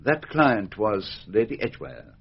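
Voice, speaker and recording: A shrewd, formal detective. His low voice is measured and precise yet richly inflected. The radio drama sound is slightly muffled. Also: sing-song, husky, heavily acted. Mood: polite, explanatory. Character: shrewd, courteous foreign gentleman detective.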